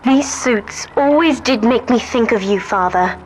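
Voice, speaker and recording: An actress playing an earnest, devoted young woman in a video game, speaking with intimate, measured drama. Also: on the high side, slight background noise, close to an everyday voice, poor audio. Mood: hopeful, resolute. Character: earnest young woman, devoted daughter, newly freed and determined.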